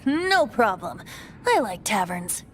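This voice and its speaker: A woman's voice: medium pitch, big pitch swings, takes it slowly. A woman voicing a cheeky, youthful male bard in a video game, breezy and teasing with a theatrical way of talking. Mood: cheerful. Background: background music.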